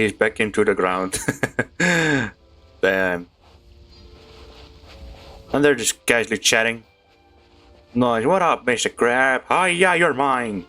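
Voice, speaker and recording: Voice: mid-range voice, a bit bright. A streamer giving casual, chatty and playful commentary while playing a video game. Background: faint game music.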